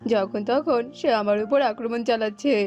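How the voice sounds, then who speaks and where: A woman's voice: fairly high pitch, moderate pace, darkish tone, dull audio, lightly acted. A woman voicing a hapless, poor male bird husband in a cartoon, whining and pleading. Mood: complaining. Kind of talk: cartoon voice acting. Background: music.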